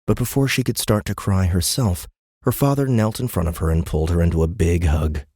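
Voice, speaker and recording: A man narrates an audiobook in a low, husky voice. He reads scripted story prose, and the sound is close and very dry. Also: fairly even intonation, brisk, dark tone, dry recording.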